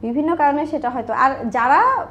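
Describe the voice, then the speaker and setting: Bangladeshi woman, pretty high voice, chatty n emphatic interview guest, drops in english words. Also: very animated, a little fast, a bit bright, highs cut off, slight background noise. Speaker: interview guest, online business owner. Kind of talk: candid conversational interview.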